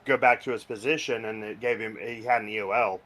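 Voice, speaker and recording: A man who just won a race, chatting casually in a relaxed, modest and thankful way. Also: on the low side, fairly quick. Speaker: winning race driver. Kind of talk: casual post-race interview.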